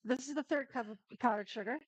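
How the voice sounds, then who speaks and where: Chatty higher-voiced woman, dry sound. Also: fast, dry recording. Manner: chatty, talks straight to viewers, laughs easily. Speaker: cooking stream host. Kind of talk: casual live-stream cooking demo.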